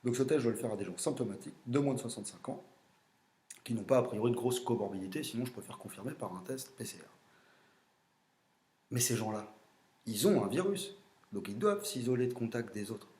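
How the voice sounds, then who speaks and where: A French-accented man, a general practitioner, lays out his views bluntly and with strong opinions, pausing on fillers as he goes. His voice sits at an ordinary middle pitch for a man. The recording has a roomy, echoing sound. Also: lively intonation, mellow. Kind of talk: explanatory monologue.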